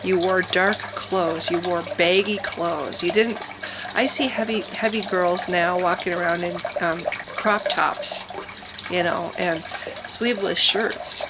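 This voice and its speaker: Vlogger: nasal-voiced middle-aged woman, candid rambling monologue, trickling water clearly behind. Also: lowish voice, fairly even intonation, slow pace, very noisy. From Wisconsin, USA. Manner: rambling, candid, thinking aloud with many restarts. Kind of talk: personal monologue to camera. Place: her home with water fountains running.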